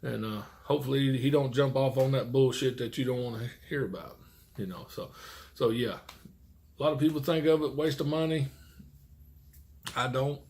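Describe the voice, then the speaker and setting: Gravelly middle-aged trucker, folksy. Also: male voice, medium pitch, normal speed, long pauses, a little mellow. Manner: folksy, rambling, mild swearing. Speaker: truck driver and vlogger. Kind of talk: casual chat to camera.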